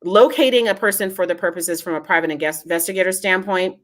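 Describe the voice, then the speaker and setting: A woman's voice: lowish voice, fast. Female guest, earnest and reassuring, explains a genealogy search service in plain talk. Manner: earnest, reassuring, advice-giving. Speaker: genealogist and foundation head, interview guest. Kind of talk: casual interview talk.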